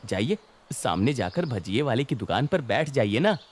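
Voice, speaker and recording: A man's voice: mid-range voice, sing-song, normal speed. A man voicing a friendly, teasing village bicycle repairman in a children's cartoon story, chatty and familiar. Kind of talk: animated children's moral story dialogue.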